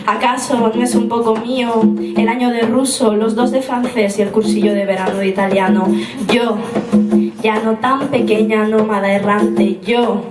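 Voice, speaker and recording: Young woman poet, slightly high voice, measured rhythmic recitation, distant mic in a roomy live space over loud music. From Spain, of Moroccan family. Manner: slow, rhythmic, deliberate recitation. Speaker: spoken word poet. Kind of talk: spoken word poetry performance. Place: live poetry event on a stage. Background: loud instrumental music.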